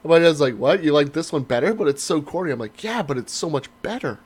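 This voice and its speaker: A man in his thirties chatting casually as a podcast co-host, telling stories in an animated way with emphatic swearing. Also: a little high, fast, breathy edge. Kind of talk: casual banter, profane chat.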